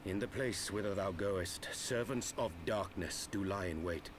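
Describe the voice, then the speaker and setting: Low-voiced, solemn archaic warrior. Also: male voice, a little slow. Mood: grave, solemn. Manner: formal, archaic, grave. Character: solemn archaic warrior grieving a fallen comrade. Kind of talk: dramatic game voice acting. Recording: noisy game stream capture.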